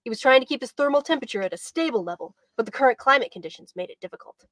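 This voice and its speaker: High-voiced woman reading a story aloud. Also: animated, rapid-fire, a bit bright, dry recording. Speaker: host and writing instructor. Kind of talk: storybook read-aloud and friendly hosting. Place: indoors by bookshelves, on a video stream.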